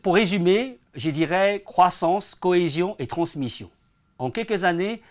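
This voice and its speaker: A man in his forties, born in China and raised in France, giving earnest, prepared answers as an interview guest. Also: fairly high pitch. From born in China, grew up in France from age ten. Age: 40s. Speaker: interview guest, entrepreneur and association president.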